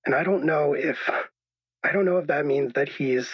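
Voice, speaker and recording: A man playing an anxious, troubled caller to a radio show, speaking in halting, apologetic stammers. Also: fairly high pitch, husky. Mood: defensive, anxious. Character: anxious, troubled male caller unsure of his own reality. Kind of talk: improvised radio drama. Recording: dull lo-fi echoey call sound.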